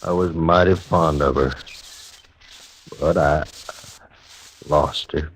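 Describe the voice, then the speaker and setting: An actor playing a lonely, guarded stagecoach station keeper, terse and melancholy, in a 1950s radio western. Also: male voice, very deep voice, steady tone, takes it slowly, slight background noise, full character voice, poor audio. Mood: wistful, lonely. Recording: vintage radio drama recording.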